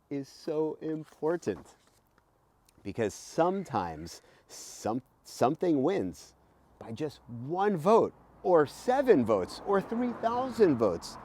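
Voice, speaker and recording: Man, middle-aged, American accent, teacher's story-time reading, very animated intonation, emphatic, conversational asides, outdoors. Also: fairly high pitch. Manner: expressive, emphatic, stretches key words. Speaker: teacher reading a picture book to children. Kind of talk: animated children's read-aloud with asides. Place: outdoors beside a house in a garden.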